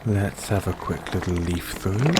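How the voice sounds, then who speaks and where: A man reading bedtime fairy tales as an audio roleplay, in measured storybook narration. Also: low voice, very noisy.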